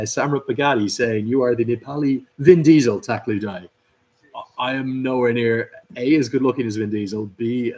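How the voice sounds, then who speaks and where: A man hosting a live cricket watchalong, commentating and chatting through viewer comments. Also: medium pitch. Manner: chatty, upbeat, reads out viewers' names and greetings. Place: at a cricket club.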